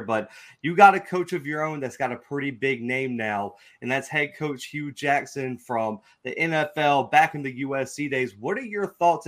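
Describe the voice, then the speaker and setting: A man's voice: medium pitch, a bit bright. A man with an American accent hosting a sports podcast, asking casual interview questions in a chummy, informal way.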